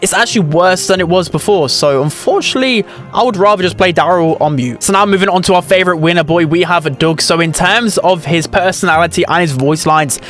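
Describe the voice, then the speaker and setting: A man's voice: sits high, brisk, few pauses, a bit bright. A young man giving casual, chatty gaming commentary in a run-on delivery. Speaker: gaming video host.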